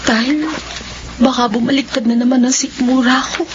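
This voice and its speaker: Actress as anguished young Filipino woman under a curse, high voice, halting dramatic radio horror delivery, muffled lo-fi sound. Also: level tone, natural voice. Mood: troubled, secretive. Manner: slow, halting, dramatic inner monologue. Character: anguished cursed daughter tormented by strange hunger. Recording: dull lo-fi radio audio, clear background noise.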